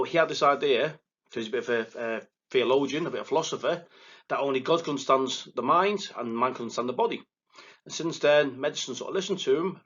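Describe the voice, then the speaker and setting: A man with a thick Liverpool accent giving a recorded lecture as a psychotherapist, excitable and quick, often stumbling and restarting his words. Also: medium pitch, breathy edge, lo-fi sound. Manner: fast, excitable delivery with verbal stumbles and restarts. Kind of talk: recorded lecture to counselling students. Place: presenting alone without a live audience.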